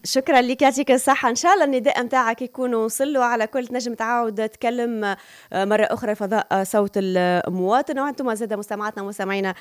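Tunisian woman, radio presenter, very rapid delivery, live phone-in chat, dry close sound. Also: medium pitch, no echo. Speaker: radio call-in show host. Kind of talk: live radio hosting, chatting with callers.

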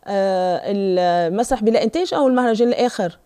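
A Tunisian woman interviewing a guest, asking quick questions and nodding along with short encouraging replies. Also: mid-range voice, warm tone, smooth. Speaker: interviewer host. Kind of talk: casual interview.

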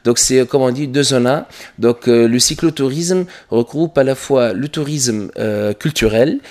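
A man from Tunisia, an Arabic speaker, answering as a tour guide guest in a radio studio, earnest and hesitant with many pauses and restarts. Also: mid-range voice, a little mellow.

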